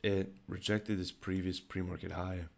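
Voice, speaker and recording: A young man with a raspy voice, thinking aloud in low-key, casual commentary while streaming his day trading live. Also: little pitch movement, normal speed, a little mellow. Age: young adult.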